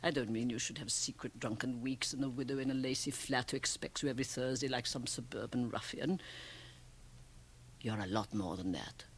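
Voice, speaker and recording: Shrewd woman, low husky voice, blunt and theatrical, radio play. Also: fairly quick, heavily acted. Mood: coaxing, provocative. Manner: emphatic, theatrical, probing. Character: shrewd, worldly, provocative Swiss woman, blunt and teasing. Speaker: radio drama actress. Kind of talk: radio drama acting.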